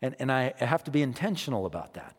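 A man preaching a sermon as pastor, emphatic, repeating his phrases and swinging between quiet and loud. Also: medium pitch, very animated, quick pace, husky, in a large hall. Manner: emphatic, repeats phrases, rises and drops in loudness.

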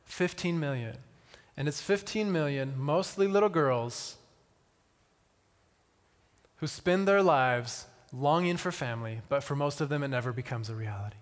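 Man preaching in a reverberant hall. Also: fairly high pitch. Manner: conversational, with deliberate dramatic pauses and repetition. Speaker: missionary speaker. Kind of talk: sermon with personal storytelling to an audience.

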